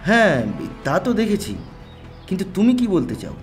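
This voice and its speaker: A man's voice: sits high, very animated, moderate pace, faint music behind. An actor playing a detective's puzzled, sceptical male companion, questioning incredulously in an audio mystery drama.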